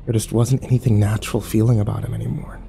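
A man's voice: lowish voice, flat delivery, quick pace, gravelly, dark tone, a little hiss or hum. An actor playing a weary, haunted, cynical man who broods and swears through a first-person horror audio drama. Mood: shaken, grim. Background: music behind the voice.

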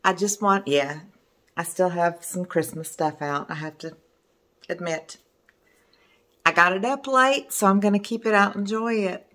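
A hoarse woman in her fifties, an artist chatting to camera in her living room in the evening and showing her pieces. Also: fairly low pitch, moderate pace, pauses often, bright, forceful tone. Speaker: resin artist and online teacher. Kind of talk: casual chat to camera.

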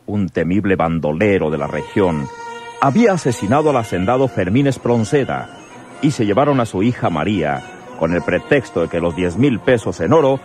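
A man's voice: fairly low pitch, animated, normal speed, natural voice. A man with a Mexican accent narrating a radio drama in a dramatic scripted style. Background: loud dramatic music.

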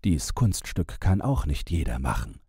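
Deep, husky man reading a bedtime fairy tale. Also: very animated, unhurried, mellow, no room sound, studio quality. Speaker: audiobook narrator.

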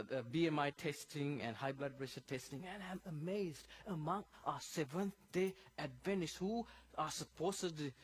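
Middle-aged man from Sri Lanka, high voice, church health seminar speaker, chatty and explanatory. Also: husky. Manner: conversational and explanatory, breaks down medical terms.

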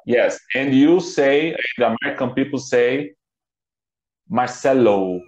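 A Brazilian man in his thirties, a native Portuguese speaker, talks through an online English lesson in halting English with many restarts. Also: mid-range voice, darkish tone. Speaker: English student. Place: home office with bookshelves. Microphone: laptop webcam mic on a video call. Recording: roomy, dull video-call audio.

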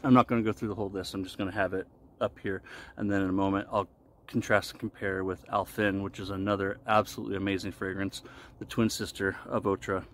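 A low-pitched man talks casually to a camera as he explains things in a vlog. His phrasing is deliberate and halting. He is recorded outdoors in a wooded clearing, with some ambient noise around him. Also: little pitch movement, fairly bright tone. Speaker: vlogger reviewing shaving products. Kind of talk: casual explanatory talk to camera while shaving.

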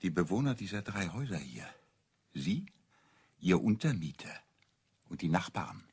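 An ironic clergyman in a detective radio play. The deep voice is very rough and breathy. He needles with mock accusations in a widely swinging melody. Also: sing-song, moderate pace, darkish tone, full character voice. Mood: dry needling. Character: sardonic, needling male clergyman. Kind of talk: German crime radio play acting.

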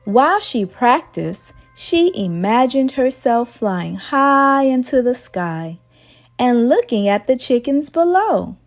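Really slow high voiced lady doing a kids read aloud, singsong, music underneath. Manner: slow, expressive, singsong delivery. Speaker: children's storyteller and host. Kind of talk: read-aloud storytelling for young children. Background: background music.

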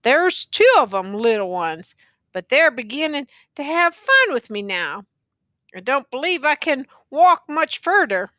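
A woman's voice: high-pitched, big pitch swings, a little slow, pushed and bright, no room sound, full character voice. A woman voicing a wounded, tattered male soldier who rambles on in a slurred, folksy dialect. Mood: rambling, fretful.

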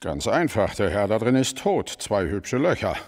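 An actor playing a gruff, sarcastic homicide detective with a curt manner in a radio crime drama. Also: male voice, lowish voice, big pitch swings, normal speed. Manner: curt, sardonic. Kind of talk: German radio play acting.

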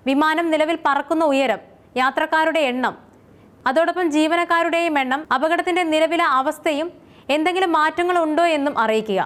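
A woman's voice: high voice, a bit bright. A woman reading a scripted explainer as its narrator.

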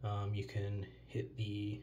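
A man's voice: on the low side, monotone, slow, dark tone. An American man giving a casual, offhand product demonstration as a reviewer, recorded indoors at a countertop. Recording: noticeable noise, handling clicks.

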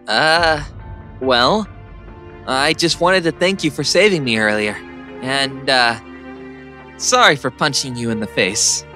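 A man's voice: medium pitch, takes it slowly, pauses often, bright, forceful tone, faint music behind. A man voicing an awkward, meek teenage schoolboy in a comic dub, hesitant and apologetic. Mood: nervous, apologetic. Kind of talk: dramatic comic dub acting.

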